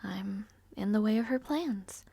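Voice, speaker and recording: A woman's voice: medium pitch, measured pace, gravelly, mellow, breathy edge, dry recording. A woman playing a rude, arrogant but somewhat shy young princess in an audio roleplay, speaking in a formal, languid, world-weary way. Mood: weary, resigned. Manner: haughty, languid, sighing, formal wording. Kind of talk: scripted audio roleplay acting, addressing the listener. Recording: close, dry, no ambience.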